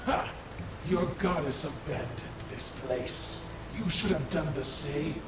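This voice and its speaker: A woman voicing an arrogant, menacing female spirit who haunts a temple as a haughty video game villain. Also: low-pitched. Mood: scornful menace. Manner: haughty, slow, threatening. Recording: distant, echoing, very noisy temple ambience.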